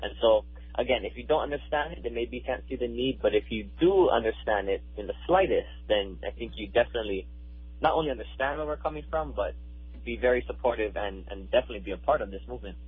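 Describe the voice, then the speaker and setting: A man's voice: medium pitch, lively intonation, quick pace, breathy edge, phone-quality sound. A man in his thirties from Hawaii, speaking earnestly and emphatically as an activist guest in a radio interview, often repeating words as he builds his point.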